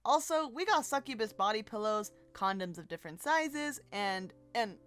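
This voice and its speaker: A woman's voice: a little high, expressive, normal speed, fairly bright tone, faint music behind. A woman co-hosting a fan breakdown, giving recap commentary in a playful, jokey way. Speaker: co-host of a fan breakdown show.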